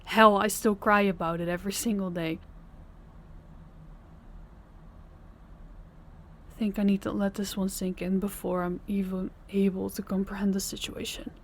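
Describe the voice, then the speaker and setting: A young woman voicing a tired, tender working girlfriend in an audio roleplay, speaking softly and intimately, often close to a whisper. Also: medium pitch, flat delivery, a little fast, hardly any pauses, lightly acted. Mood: grieving, drained. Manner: soft-spoken, intimate, often near a whisper. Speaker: voice actor.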